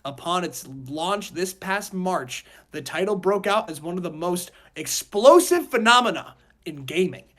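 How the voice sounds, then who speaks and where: Male podcast co-host, casual and slangy, animated delivery with shifting volume. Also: high voice. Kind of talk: casual group podcast chat.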